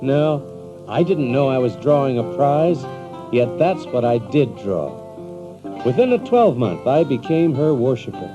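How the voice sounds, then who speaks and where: An actor playing a boastful, excitable Yankee adventurer who tells his own tale theatrically in an audiobook drama. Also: mid-range voice, measured pace. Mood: reflective, pleased. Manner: theatrical, animated, with chuckles. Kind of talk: dramatized audiobook first-person narration. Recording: dull lo-fi audio with loud music.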